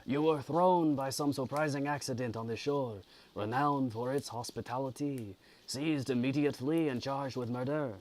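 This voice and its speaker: A man voicing a courteous, sympathetic French gentleman from a gothic novel, with a put-on French accent and formal phrasing. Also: medium pitch, pitched above the performer's own voice, lightly acted.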